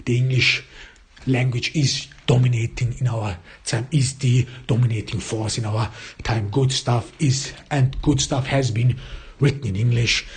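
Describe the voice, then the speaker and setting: Very husky man. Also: medium pitch, steady tone, measured pace. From Austria. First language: German. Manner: searching for words in a second language. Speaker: podcast host. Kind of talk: casual solo podcast monologue.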